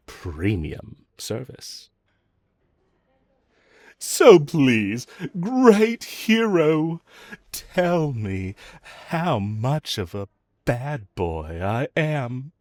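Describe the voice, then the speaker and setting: Guy doing a smug flirty supervillain, low voice, swings around a lot, sarcastic teasing, audio roleplay. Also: a little mellow, dry recording, full character voice. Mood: flirty, taunting. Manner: teasing, sarcastic drawl with chuckles. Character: cocky, flirtatious, mocking male supervillain, unrepentant. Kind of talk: audio roleplay acting, addressed to listener.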